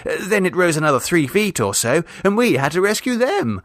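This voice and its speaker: A man's voice: high-pitched, big pitch swings, brisk, no room sound, studio quality, voice pushed up. A man voicing a chatty, blithely unconcerned teenage girl in a storybook reading, prattling breezily and matter-of-factly. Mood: breezy, chatty. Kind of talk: storybook character voice.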